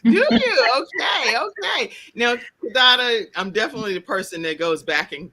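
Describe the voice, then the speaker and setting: A woman hosting a talk show from a video call. Her voice sits high and sounds bright and forceful. She talks in an animated, playful way and laughs often. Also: normal speed.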